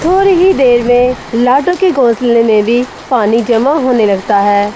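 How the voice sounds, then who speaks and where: Lady narrating kids story, slow and high, bit of rainy noise in back. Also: mellow. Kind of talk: scripted children's story narration. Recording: faint rain and background noise.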